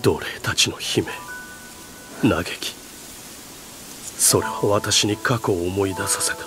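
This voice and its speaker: An actor playing a weary, guilt-ridden lord in a video game, speaking gravely and slowly, often sinking toward a whisper. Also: male voice, deep voice, over music. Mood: anguished, weary. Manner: slow, grave, half-whispered confession. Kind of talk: Japanese video game voice acting.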